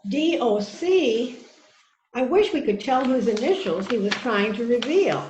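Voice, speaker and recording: A woman playing an earnest wife under police questioning in an amateur mystery reading, with expressive delivery. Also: on the high side, a little slow, noisy background. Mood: puzzled. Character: earnest wife pleading innocence to a detective. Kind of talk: amateur readers theater mystery. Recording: echoey, muffled online call.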